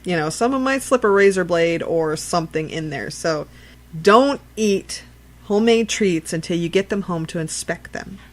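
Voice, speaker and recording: Low-voiced woman giving earnest advice. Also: normal speed. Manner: earnest, explaining safety tips at length. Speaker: podcast co-host. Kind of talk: casual chat.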